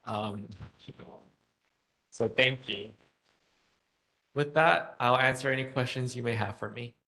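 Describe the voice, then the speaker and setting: A man, a state legislator, gives an informal report at a community center meeting, pausing often with fillers. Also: mid-range voice, sharp tone, rough recording. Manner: informal, hesitant, frequent filler pauses.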